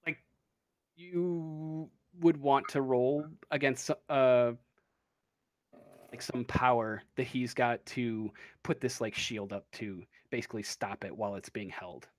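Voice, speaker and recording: A game master improvising narration for a tabletop roleplaying game, pausing often to think. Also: mid-range voice, dry recording.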